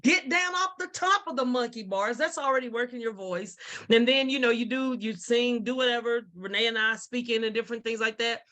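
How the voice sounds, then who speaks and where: A deep-voiced woman tells a story on a video call with lively energy. She jumps into voices of the people in it, animated and warm in her telling, over a webcam mic. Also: a little fast. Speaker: former kindergarten teacher and speaker.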